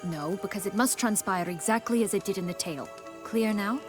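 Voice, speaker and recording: An actress playing a proud, bitter exiled noblewoman in a video game, with haughty, formal, measured speech. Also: mid-range voice, music in the background, natural voice. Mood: brisk, imperious.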